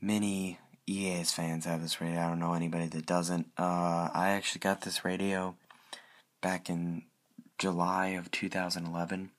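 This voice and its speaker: Casual hobbyist show-and-tell: man, low flat voice, rambling and repetitive, faint noise on an amateur recording. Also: normal speed. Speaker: hobbyist showing a weather radio collection.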